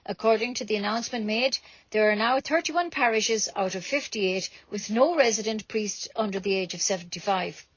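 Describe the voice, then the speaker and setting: A woman's voice: mid-range voice, normal speed, dry recording, highs cut off. An Irish woman reading scripted local news as a community radio presenter.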